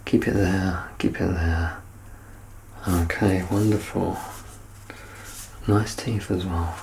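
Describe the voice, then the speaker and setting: Low, creaky, hushed ASMR voice. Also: steady tone, takes it slowly, noisy background. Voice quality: hushed, often dropping to a whisper. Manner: soft-spoken, reassuring, gently instructing. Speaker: ASMR performer playing an examining clinician. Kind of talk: ASMR medical exam roleplay.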